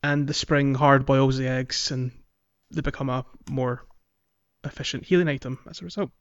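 A man in relaxed co-host chat, speaking at a medium pitch with agreeable, halting phrasing and a ready giggle. The sound is very dry and a little muffled, with a lo-fi edge. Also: clean tone, no echo. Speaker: podcast co-host. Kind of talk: casual chat about a video game.

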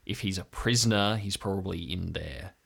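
A man playing an earnest, wary young woman from a compound in an improvised audio drama, speaking in a hesitant, deliberate way. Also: low-pitched, vocal fry, fairly bright tone, husky, exaggerated voice.

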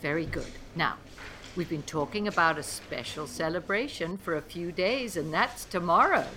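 A woman's voice: fairly low pitch, lively intonation, sharp tone, a little hiss or hum. A woman playing a kindly but firm elementary school teacher in a radio play, speaking carefully as if to young children. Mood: cheerful, patient. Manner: measured, enunciating as to young children.

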